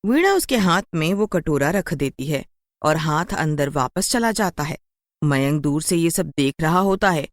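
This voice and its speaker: Low-voiced woman narrating a spooky story. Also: expressive, measured pace, dry recording, studio quality. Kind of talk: scripted children's horror story narration.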